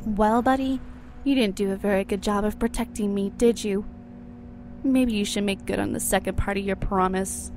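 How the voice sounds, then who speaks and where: A woman's voice: medium pitch, steady tone, normal speed, darkish tone, a little hiss or hum, close to an everyday voice. An actress playing a cruel, seductive vampire ex-wife in an audio drama, mocking and theatrical, with music behind her. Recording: music bed under the voice.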